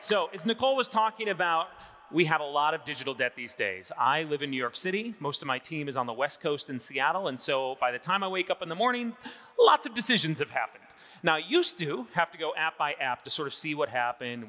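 A man's voice: on the high side, expressive, brisk, sharp tone. A man in his forties, an enthusiastic presenter giving a live product demo on a large conference stage.

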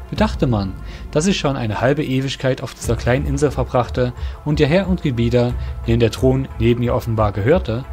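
A man's voice: mid-range voice, a little mellow. A man narrating a scripted fantasy story in formal, literary phrasing. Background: music.

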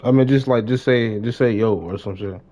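A man's voice: on the low side, normal speed, dark tone. A young adult man chatting casually on a gaming livestream, slangy, often repeating his phrases. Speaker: live game streamer. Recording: dull lo-fi stream audio.

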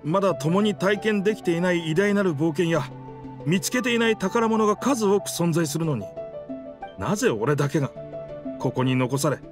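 A man voicing a stranded talking boat with a sailor's spirit, rough in phrasing, hearty and wistful, in a Japanese anime game. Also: high voice, animated, normal speed, over music. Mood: wistful, regretful.

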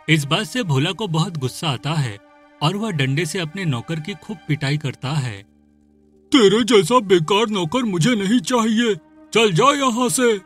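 A man narrating a scripted cartoon story as its storyteller. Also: fairly high pitch.

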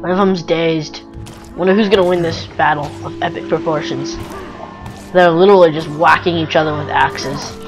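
A young man chatting casually over a video game with a friend, excitable, sarcastic and sweary. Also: on the high side, moderate pace. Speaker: gamer commentating over gameplay. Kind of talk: casual gameplay chat with a friend. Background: game music and sounds.

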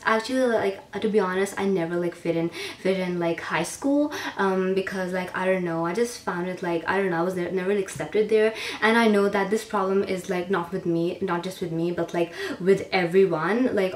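An eighteen-year-old woman from Pakistan, answering viewer questions in a rambling, casual vlog style, filled with "like". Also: fairly low pitch. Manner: chatty, rambling, heavy use of "like". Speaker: beauty vlogger. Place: bedroom, indoors. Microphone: camera mic at a short distance. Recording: echoey room, a bit distant, faint hiss.

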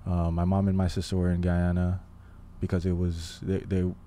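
A man in his twenties from Haiti, telling his story as a guest, thoughtful and pausing often with fillers. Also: deep voice, monotone, dark tone, slight background noise. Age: 20s. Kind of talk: casual interview. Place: living room podcast set. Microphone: studio mic on boom arm, headphones.

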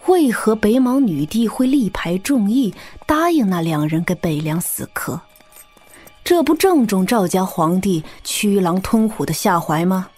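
An actress playing an astute, composed woman in a martial-arts audio drama, speaking in a serious, analytical way with a husky voice. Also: mid-range voice, animated, a little slow, a little mellow. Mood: questioning. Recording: music behind. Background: background music.

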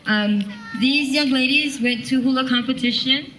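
A woman's voice: high-pitched, moderate pace, pushed and bright, slight background noise, low-quality recording. A middle-aged woman emceeing a hula show on an outdoor stage, chatty and coaxing, directing children as she explains the dances. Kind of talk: live stage emcee with singing. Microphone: stand mic on stage, camera out in the audience.

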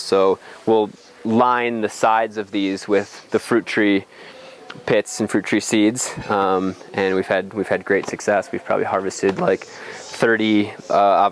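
A young adult man explains things in an informal outdoor tour, thinking aloud with some hesitation, on a lapel mic. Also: lowish voice. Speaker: farm guide. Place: outdoors on a farm.

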